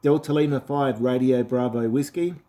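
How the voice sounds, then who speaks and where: A man's voice: medium pitch, fairly even intonation, normal speed, mellow. An Australian man from South Australia, an amateur radio operator chatting easily through a ham radio contact, with many fillers.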